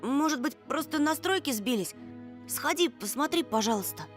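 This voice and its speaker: Echoey, high-voiced young boy played by a woman. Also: lively intonation, normal speed. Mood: nervous, coaxing. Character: timid, pleading young schoolboy. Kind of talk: voice acting in a Russian visual novel. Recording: echoey, reverberant game audio. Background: game music.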